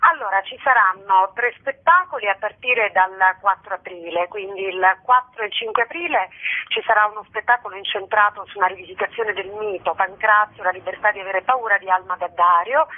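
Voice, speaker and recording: A woman festival curator explaining her programme as a guest in a radio interview. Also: medium pitch. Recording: tinny, narrow telephone line.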